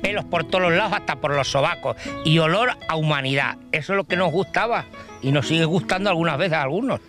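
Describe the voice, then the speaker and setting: A man's voice: fairly high pitch, quick pace. A middle-aged man from La Mancha, an actor chatting in a casual interview outdoors on a handheld microphone. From La Mancha, Spain. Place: open field outdoors. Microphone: handheld reporter microphone. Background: music.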